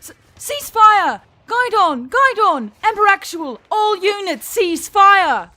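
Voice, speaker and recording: A man voicing a frantic young-sounding female elf radio operator, yelling urgently in a fantasy war audiobook. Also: very high voice, very animated, a little slow, voice pushed up, full character voice. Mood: panicked urgency.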